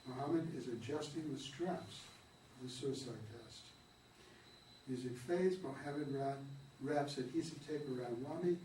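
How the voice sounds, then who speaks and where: A man's voice: mid-range voice, measured pace, mellow. A man reading a play's stage directions aloud in a plain manner, sometimes stumbling over words. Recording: echoey room, distant mic, light noise.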